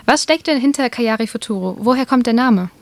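A woman interviewer who reads her introduction in a measured, clear way and then asks questions. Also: fairly high pitch.

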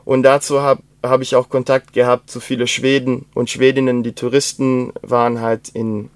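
A young man with a slight Swabian accent, talking casually and rambling with many pauses, fillers and restarts. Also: mid-range voice, level tone, no room sound. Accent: German with Swabian traces. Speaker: interview guest. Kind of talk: casual conversation.

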